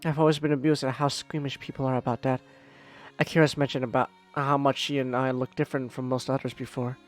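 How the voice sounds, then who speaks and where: A man voicing a polite, soft-spoken young blind woman as he reads a visual novel aloud, in a gentle, measured and courteous way. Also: mid-range voice, flat delivery, faint music behind, close to an everyday voice.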